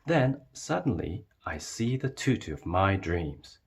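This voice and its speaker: A man narrating a children's storybook as a read-aloud, with expressive delivery. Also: sits low, measured pace, vocal fry, a little hiss or hum.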